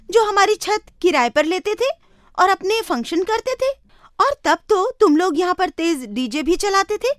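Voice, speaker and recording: A woman's voice: very high voice, no echo, studio quality, voice pushed up. A woman voicing a bold, outspoken young sister in a moral story, firing confrontational questions at those around her. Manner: confrontational, rapid rhetorical questioning.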